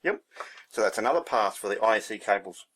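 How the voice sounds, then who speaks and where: A man's voice: on the low side, animated, fairly quick, a bit bright, slight background noise. A man giving a casual hands-on demonstration, thinking aloud in a laid-back, unscripted way as he works. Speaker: hobbyist presenter.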